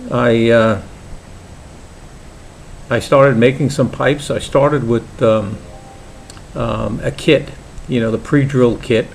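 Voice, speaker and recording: An older man with a Brooklyn accent, talking casually and haltingly about his hobby in a home vlog, with many pauses and restarts. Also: mid-range voice, fairly even intonation, vocal fry, slight background noise, rough recording. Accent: New York (Brooklyn). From Brooklyn, New York. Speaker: pipe maker vlogger.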